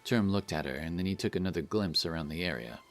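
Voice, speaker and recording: A man's voice: deep voice, fast talker, faint music behind. A man narrating a scripted fantasy story as the narrator of an audio drama.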